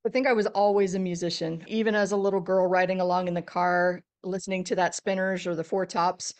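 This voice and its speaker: A middle-aged woman with a deep, low-sitting voice chats as a podcast guest. She tells her stories with animation and an easy laugh, in a relaxed, conversational way. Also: fairly quick. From Texas. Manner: animated storyteller, laughs often. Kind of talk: casual interview chat.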